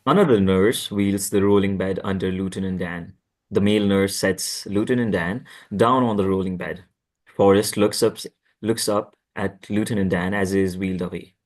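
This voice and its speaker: A man's voice: low-pitched, fairly even intonation, moderate pace. A man narrating a script at a table read, reading out stage directions.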